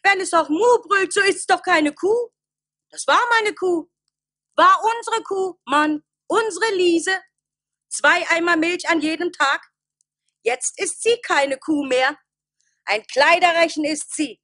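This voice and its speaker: An actress playing an angry, commanding village wife in a declamatory, reproachful radio play style. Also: female voice, very high voice, slow, bright, forceful tone, no echo, studio quality, exaggerated voice. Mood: indignant. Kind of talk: stage-like radio play acting.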